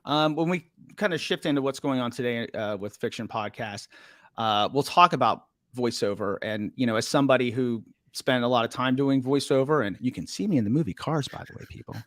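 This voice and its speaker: A man's voice: mid-range voice, a little fast, a bit bright, no echo. A man hosting a podcast interview, asking long rambling questions with jokes and fillers. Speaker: podcast host. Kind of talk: casual interview chat.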